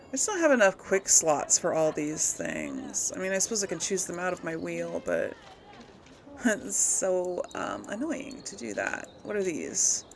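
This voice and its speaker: A woman's voice: lowish voice, a bit bright, slight background noise. A woman hosting a casual let's play, musing and thinking aloud as she goes. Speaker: gaming video host. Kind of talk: casual let's play commentary, some role-played replies.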